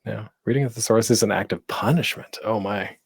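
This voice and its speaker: A man's voice: fairly low pitch, a little fast, gravelly, husky. A man presenting a casual online panel as a podcaster, speaking off the cuff in a rambling, self-deprecating, jokey way.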